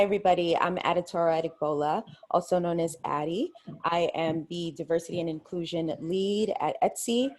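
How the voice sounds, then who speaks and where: A woman's voice: deep voice, a little mellow. A woman introducing herself as a webinar panelist. She sounds friendly and a little self-deprecating. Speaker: panelist, diversity lead.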